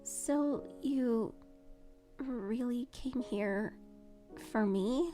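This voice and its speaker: A woman voicing a shy, timid teenage girl who speaks softly and haltingly. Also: fairly high pitch, soft background music. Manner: hesitant, soft-spoken, halting.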